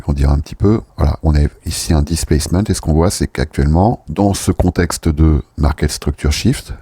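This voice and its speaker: Very deep, dark-voiced man explaining markets. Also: breathy edge, no echo. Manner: conversational, measured, didactic. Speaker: host, trading analyst. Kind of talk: explanatory market commentary to viewers.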